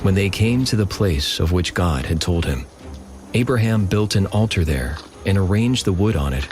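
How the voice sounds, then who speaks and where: A man's voice: deep voice, lively intonation, normal speed, darkish tone, slight background noise. A man giving dramatic, solemn scripted scripture narration for an audio drama. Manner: solemn, portentous.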